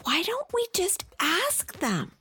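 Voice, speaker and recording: Woman as Octopuppy, a slow, high-pitched playful creature. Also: huge pitch swings, pushed and bright, exaggerated voice. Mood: earnest, curious. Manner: playful, exaggerated stumbling over big words. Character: Octopuppy, playful young octopus-puppy creature, curious and silly. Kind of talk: scripted children's podcast dialogue.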